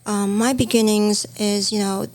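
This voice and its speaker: A woman's voice: mid-range voice, flat delivery, unhurried. A middle-aged woman from Hong Kong, a native Chinese speaker, co-hosting a casual podcast chat as a stylist and telling stories from her past. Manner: storytelling, warm reminiscing.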